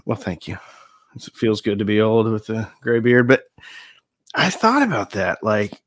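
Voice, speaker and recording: A man's voice: on the low side, sing-song, unhurried, no room sound, clean studio sound. A man in his forties chatting casually as a guest, joking and self-deprecating as he tells stories. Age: 40s. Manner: jokey, self-deprecating storyteller. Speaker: youtube channel host, guest. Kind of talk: casual chat.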